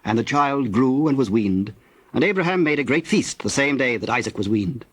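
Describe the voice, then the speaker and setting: A man narrating scripture from a script in a solemn, measured manner. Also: mid-range voice, lively intonation, husky, dry recording.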